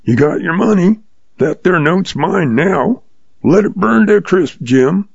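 A man voicing a wily old male cowboy with a calm, slow Western drawl. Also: sits high, creaky, slightly rough, no room sound, dull audio, pitched above the performer's own voice, exaggerated voice. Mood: calm, sly.

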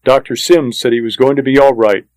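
A man's voice: medium pitch, fairly even intonation, a little fast, darkish tone, no room sound. A man reading a first-person western story as scripted audiobook narration, in a plain, matter-of-fact manner.

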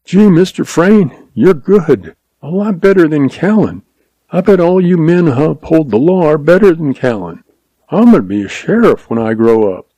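A man's voice: on the high side, sing-song, normal speed, dark tone, dry recording, pitched above the performer's own voice, low-quality recording. A man voicing an eager, wide-eyed boy full of admiration in a western story, with folksy talk. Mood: excited admiration.

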